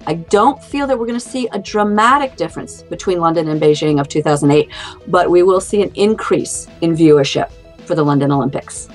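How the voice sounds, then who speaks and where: A woman with a slightly low voice talks through a tarot reading. She is casual and musing, with faint music behind her. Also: brisk. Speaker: tarot card reader.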